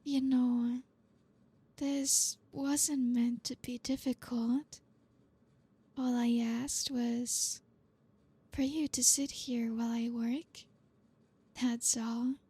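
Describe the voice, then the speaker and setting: Woman playing a slow, breathy, flirty lady boss. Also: fairly high pitch, level tone, full character voice. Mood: teasing, seductive. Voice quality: soft, breathy, often whispering. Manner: slow, teasing, deliberate. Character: flirty, dominant female boss, teasing and commanding. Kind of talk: ASMR roleplay.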